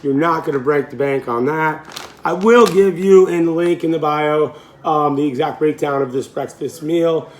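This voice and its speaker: A man's voice: fairly high pitch, moderate pace, slight background noise. A man in his late thirties, a fitness coach, chatting casually in a home cooking vlog, rambling and correcting himself with easy laughs. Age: 30s.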